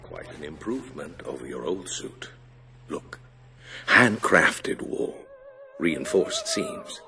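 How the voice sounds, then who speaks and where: A man's voice: sits low, huge pitch swings, slow pace, heavily acted. An actor playing an old, courteous tailor in a game, obsequious and savoring his words. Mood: delighted, fawning. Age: older. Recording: game cutscene under loud music.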